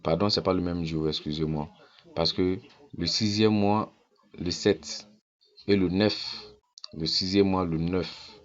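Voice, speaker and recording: A man's voice: deep voice, fairly even intonation, slow pace, highs cut off. A man from Ghana, walking viewers through his account on screen in a casual, persuasive way and repeating his points insistently. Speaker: network-marketing promoter.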